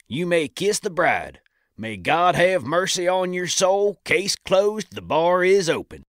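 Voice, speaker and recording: A man's voice: sits high, big pitch swings, slow pace, fairly bright tone, no echo, studio quality, heavily acted. A man playing a cantankerous old Wild West saloon judge in an audio drama, pronouncing things in a folksy, pompous way. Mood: officiating pompously. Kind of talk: audio drama acting in a history podcast.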